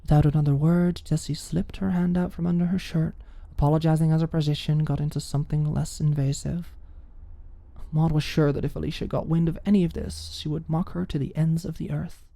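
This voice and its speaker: A woman's voice: deep voice, little pitch movement, a little fast, creaky, warm tone, no echo. A woman reading scripted fiction as an audiobook narrator.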